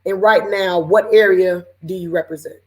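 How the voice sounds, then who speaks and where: A woman from Seattle with a raspy voice, hosting a casual panel chat over a video call with lots of fillers. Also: on the low side, normal speed. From Seattle, Washington. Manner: relaxed, chatty, lots of fillers. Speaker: panel host.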